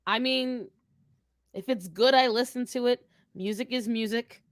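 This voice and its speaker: A woman's voice: fairly high pitch, unhurried, no echo, studio quality, natural voice. A woman playing a brash, sardonic twenty-two-year-old character in an improvised Q&A, blunt, sarcastic and foul-mouthed. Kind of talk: improvised in-character question and answer.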